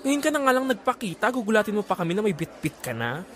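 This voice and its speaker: A man's voice: high voice, sing-song, fast talker, a bit bright. A man playing a catty, dramatic friend with campy slang in a Filipino audio drama. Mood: sulky, catty. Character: Joven, sassy, catty male friend.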